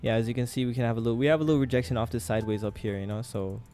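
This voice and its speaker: A man's voice: medium pitch, fairly even intonation, rapid-fire, warm tone, soft background music. A young man, raised in Thailand, hosting a casual live trading stream and talking to his chat with lots of fillers. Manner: chatty, talks to chat with many fillers.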